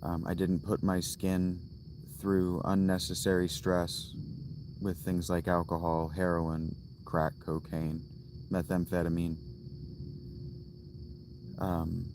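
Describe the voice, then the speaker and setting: Very deep, flat-voiced man musing in a tent. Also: background noise. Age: 30s. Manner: slow, musing, thinks aloud with restarts. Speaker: livestreamer. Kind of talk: casual livestream chat. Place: inside a tent, outdoors. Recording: loud crickets and outdoor ambience. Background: crickets.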